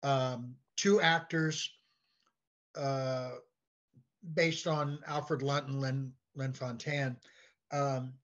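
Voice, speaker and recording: An American man chatting casually as an interview guest, telling anecdotes in a halting way and often repeating words. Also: medium pitch, fairly even intonation, fairly bright tone. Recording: dull, lo-fi call-like audio.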